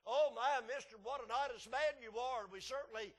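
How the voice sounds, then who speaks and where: Man putting on a gushing, high-voiced shop woman. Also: moderate pace. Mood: gushing, grateful. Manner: gushing, exclamatory. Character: gushing, grateful woman working at a chicken restaurant.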